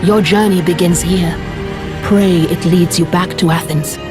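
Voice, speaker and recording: A woman playing a solemn goddess who guides a hero in a video game, grave and measured. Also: lowish voice, steady tone, natural voice. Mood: solemn, commanding. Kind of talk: video game cutscene acting. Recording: music behind the voice.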